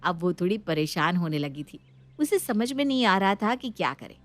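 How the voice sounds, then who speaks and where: A woman's voice: lowish voice, sing-song, moderate pace. A woman storyteller reading a scripted story narration.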